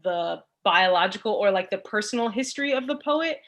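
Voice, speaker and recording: A woman's voice: mid-range voice, animated, a little fast, a bit bright. Thoughtful woman in academic discussion. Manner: thoughtful, searching for words, frequent "like". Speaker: literature course panelist and teacher.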